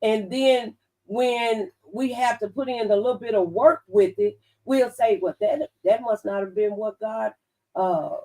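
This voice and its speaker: A woman's voice: on the high side, measured pace, warm tone. Woman speaking a bit far from the mic. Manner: folksy, preachy, gives advice through plain analogies. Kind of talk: casual radio talk-show chat. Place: radio studio.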